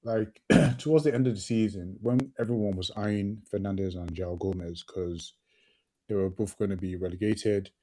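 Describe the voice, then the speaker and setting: A man's voice: lowish voice, normal speed, warm tone. A man co-hosting a football podcast, sniffly from hay fever, passionate and often repeating words as he thinks. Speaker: podcast co-host. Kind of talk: casual football podcast chat.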